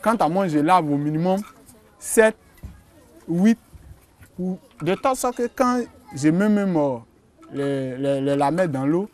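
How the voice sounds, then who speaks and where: A man's voice: fairly high pitch, animated, unhurried, pauses often. A man, a wholesaler explaining his work in an on-site interview, with restarts and hesitations. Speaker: ginger candy wholesaler.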